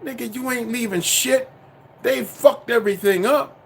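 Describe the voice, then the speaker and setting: A man's voice: extremely high pitch, normal speed, creaky, a little hiss or hum. A man delivering a profane, preachy, insistent monologue to his viewers. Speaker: commentator.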